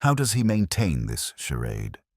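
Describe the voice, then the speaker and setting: A man's voice: sits low, wildly swooping pitch, unhurried, darkish tone, no room sound, clean studio sound, full character voice. A man voicing an earnest, uneasy Victorian detective's companion, sober and questioning, in an audio mystery story. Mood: doubtful.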